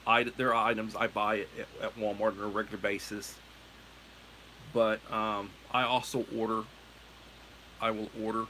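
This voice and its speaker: A man's voice: fairly low pitch, little pitch movement, normal speed, pauses often, sharp tone, a little hiss or hum, rough recording. A middle-aged American man hosting a livestream, chatting in a meandering, self-correcting way. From United States. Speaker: livestream host. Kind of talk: casual rambling chat.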